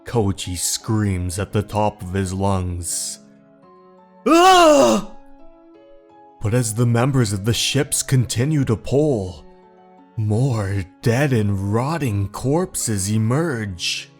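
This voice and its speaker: Slow, low-voiced male horror narrator. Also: darkish tone. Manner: measured, dramatic storytelling. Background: faint music.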